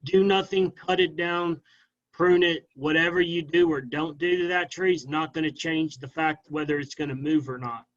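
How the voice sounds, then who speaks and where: Man, firm explainer, slightly high voice, dull lo-fi call audio. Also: normal speed. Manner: firm, explanatory, ends points with "okay?". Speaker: guest speaker, arborist. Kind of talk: Q&A answers at a club meeting.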